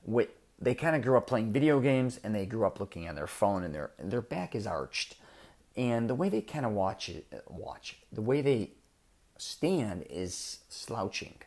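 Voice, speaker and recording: A man's voice: lowish voice, sing-song, unhurried, a little mellow. A middle-aged man hosting a podcast, giving blunt, direct advice in a casual monologue. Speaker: podcast host.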